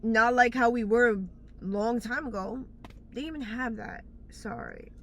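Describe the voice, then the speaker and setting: A woman's voice: on the high side, a little slow. Blunt rambling woman giving life advice. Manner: blunt, rambling, searches for words. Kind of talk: casual advice monologue. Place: outdoors in bright daylight.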